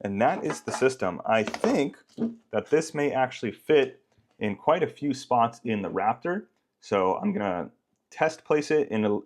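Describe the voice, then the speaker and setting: A man giving a casual, explanatory unboxing narration as a product reviewer, in an informal way. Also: medium pitch.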